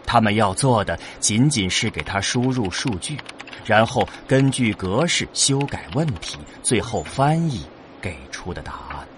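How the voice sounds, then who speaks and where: A man narrating a science-fiction audio drama in a measured, scripted storytelling delivery. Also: fairly low pitch, animated, background noise. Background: music.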